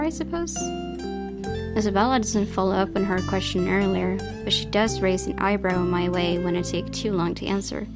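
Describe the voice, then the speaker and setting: A woman reading a visual novel aloud as its player, in expressive storytelling narration. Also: medium pitch.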